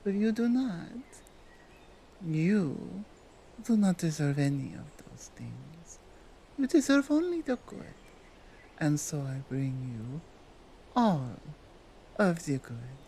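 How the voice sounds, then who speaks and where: Woman playing a seductive nordic krampus lady, asmr roleplay, slow n mellow, dominant but tender, bit of background noise. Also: sits low, very animated, heavily acted, poor audio. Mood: tender, assuring. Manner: halting, deliberate speech in foreign-sounding broken English. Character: dominant, tender, seductive female Krampus, otherworldly gift-bringer.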